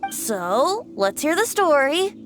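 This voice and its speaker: High, lilting, teasing young bard. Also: female voice, very animated, takes it slowly, heavily acted. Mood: curious. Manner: wry, theatrical. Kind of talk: animated video game voice acting. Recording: game music behind the voice.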